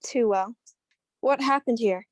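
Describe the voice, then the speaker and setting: A woman acts out a cool, knowing dame in an old-time radio detective play. Her voice sits fairly high and is recorded very dry, and her wit is just as dry. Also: unhurried, no room sound, close to an everyday voice. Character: cool, dry-witted female mystery woman. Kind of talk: 1940s radio mystery acting.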